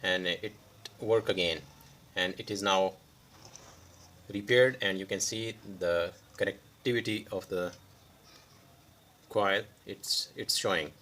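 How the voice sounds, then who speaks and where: A man who is a non-native English speaker gives an unscripted hands-on repair demonstration, pausing mid-sentence in short phrases. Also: low-pitched, bright, forceful tone. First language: not English. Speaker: electronics repair tutorial host.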